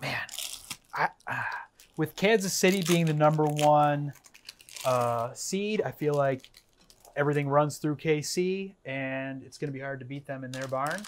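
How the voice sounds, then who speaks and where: A young man co-hosting a cooking show in a kitchen, bantering in a relaxed, easygoing way. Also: on the high side, unhurried, slight background noise. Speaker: co-host. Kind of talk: casual chat while cooking. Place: indoor kitchen set.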